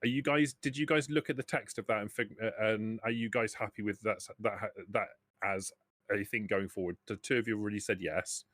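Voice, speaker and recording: Low-voiced man, close dry sound. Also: steady tone, fairly quick, a bit bright, no echo. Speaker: game master. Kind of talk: explaining tabletop game rules.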